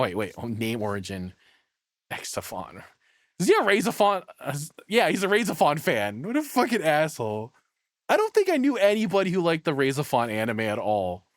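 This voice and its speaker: A man's voice: mid-range voice, wildly swooping pitch, normal speed, dry recording, clean studio sound. A man giving casual live reaction commentary as a streamer, blunt and profane, rambling through his opinions as he thinks aloud.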